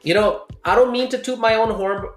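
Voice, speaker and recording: Thirties podcast host, casual explainer, middle pitch, echoey room with faint background music. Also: fairly quick. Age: 30s. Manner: explanatory, often checks in with "okay?". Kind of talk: casual health talk. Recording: roomy echo with faint music.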